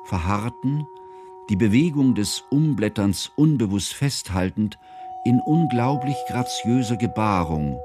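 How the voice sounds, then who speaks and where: An actor playing an educated, fondly observant narrator in a radio drama, with a measured, ceremonious manner. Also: male voice, medium pitch, lightly acted. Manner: measured, ornate, formal.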